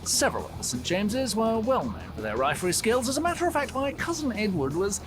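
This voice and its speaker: An actor playing a posh, excitable young pet mouse with a British accent, prim, polite and easily flustered, in a video game. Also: male voice, high voice, quick pace, music in the background. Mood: boastful.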